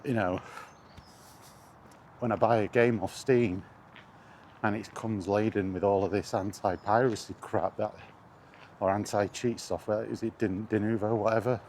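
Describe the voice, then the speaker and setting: A man's voice: lowish voice, a little mellow, slight background noise. A man ranting indignantly in a casual spoken vlog, recorded while walking outdoors. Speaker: gaming vlogger.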